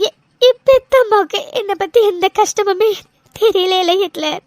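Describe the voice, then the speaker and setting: A woman's voice: very high voice, normal speed, dry recording, clean studio sound. A young woman voicing a tearful young wife raised in an orphanage, hesitant and stammering, her voice choked with tears. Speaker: voice actor. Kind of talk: audio drama acting.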